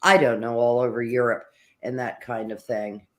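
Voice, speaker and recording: A panelist in a casual political discussion, with a medium-pitched voice. The delivery is conversational and sarcastic, with swearing mixed freely into the commentary. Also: flat delivery, normal speed. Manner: sarcastic, profane. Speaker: talk show panelist.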